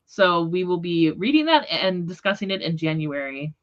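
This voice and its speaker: A woman's voice: fairly low pitch, big pitch swings, moderate pace, lo-fi sound. A woman hosting a casual book discussion, talking informally and often correcting herself mid-thought. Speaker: book readalong livestream host. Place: online livestream.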